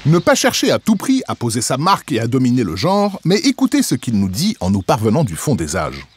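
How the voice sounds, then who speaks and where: A man's voice: medium pitch, wildly swooping pitch, fairly quick, a little hiss or hum. A man reading a scripted narration as the voice of a magazine show. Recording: voice-over with faint music. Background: faint background music.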